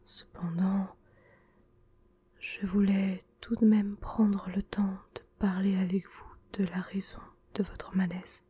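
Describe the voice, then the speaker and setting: Woman playing a breathy, whispering gentle male school nurse. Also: medium pitch, flat delivery. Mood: caring, attentive. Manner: gentle, reassuring, slow and hushed. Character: gentle, attentive male school nurse soothing an injured teacher. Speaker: ASMR roleplay performer. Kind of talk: whispered French ASMR roleplay.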